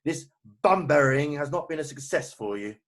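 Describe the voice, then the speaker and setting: A man reading a stern, indignant, proper gentleman in an amateur play read-through on a video call. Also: on the high side, animated, moderate pace, close to an everyday voice. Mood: stern, irritated.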